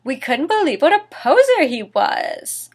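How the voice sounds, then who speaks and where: A woman's voice: high voice, very animated, unhurried. A woman reading fan fiction dramatically as host, with a sarcastic, theatrical manner and mocking asides. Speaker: host and reader of a series.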